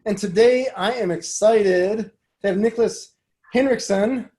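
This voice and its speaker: A man's voice: sits high, unhurried, dark tone. A man hosting a casual interview show, chatty and jokey in manner. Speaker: podcast and community radio host. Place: remote call.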